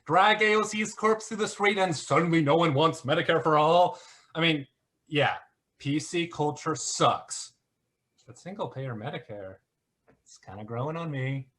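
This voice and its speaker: A man's voice: fairly high pitch, unhurried, fairly bright tone. A man playing a smug, flippant internet conspiracy grifter who mocks theatrically in a one-act play. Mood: cynical, flippant. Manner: sarcastic, mocking, theatrical.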